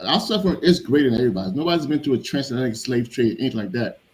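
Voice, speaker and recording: A man's voice: medium pitch, lively intonation, racing, darkish tone, a little hiss or hum, low-quality recording. A man on an online panel discussion, making a passionate argument and stressing his key words. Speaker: panel member.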